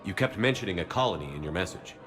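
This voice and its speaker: An actor playing a composed, matter-of-fact military commander in a video game. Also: male voice, low voice, animated, fast, music in the background. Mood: steady, businesslike. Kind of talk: game voice acting.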